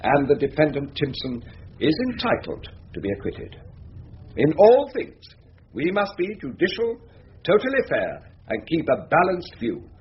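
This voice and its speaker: An actor playing a deferential, ambitious middle-aged barrister with an upper-class English accent in a radio drama. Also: male voice, a little high, huge pitch swings, measured pace. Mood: earnest, formal. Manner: hesitant, stammering, eager to please. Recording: dull radio recording, faint noise.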